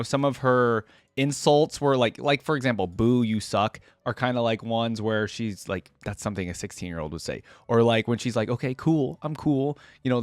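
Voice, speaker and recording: A man's voice: medium pitch, smooth, dry recording. Casual man commenting, dry close sound. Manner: informal, rambling. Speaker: reaction video host.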